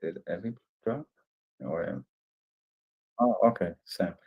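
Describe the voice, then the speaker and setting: A man's voice: low voice, unhurried, creaky, slightly rough, highs cut off. A man whose first language is Portuguese, chatting casually in a modest, hesitant way. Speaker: discussion participant. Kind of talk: casual chat.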